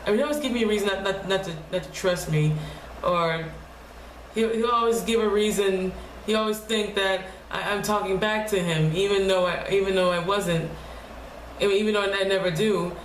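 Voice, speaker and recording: Soft-spoken young woman with a deep voice. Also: fairly even intonation. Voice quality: low, deep voice. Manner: halting, restarts often, soft and quiet. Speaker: vlogger. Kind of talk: casual personal vlog. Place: home room. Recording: echoey room with faint noise.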